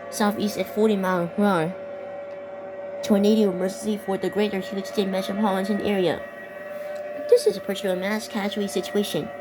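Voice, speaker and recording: Young female amateur narrator, stumbling improvised bulletin delivery, mid-pitched, heavy music bed. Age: teenager or young adult. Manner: halting, stumbling over and repeating words. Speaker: amateur video creator narrating a fictional emergency alert scenario. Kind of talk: improvised narration mixed with read-out weather warnings. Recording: loud music behind the voice. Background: loud music.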